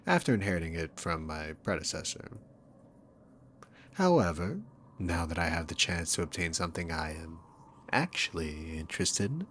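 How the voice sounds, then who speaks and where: Demon king villain, played by a man: very low register, unhurried, teasing and dominant, sarcastic ASMR roleplay delivery. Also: a bit bright, a little hiss or hum. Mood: calm, proud. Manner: smug, teasing, drawn-out theatrical phrasing. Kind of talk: scripted ASMR roleplay addressed to the listener.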